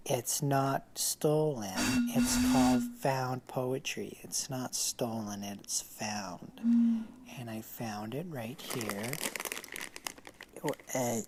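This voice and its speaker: Deadpan man reading slowly. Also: medium pitch, noisy background. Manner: slow, deadpan, halting. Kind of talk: deadpan mock poetry reading.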